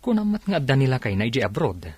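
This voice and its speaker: An actor playing a complaining, sceptical young husband in an Ilocano radio drama. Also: male voice, medium pitch, sing-song, fast talker, darkish tone, husky, dry recording.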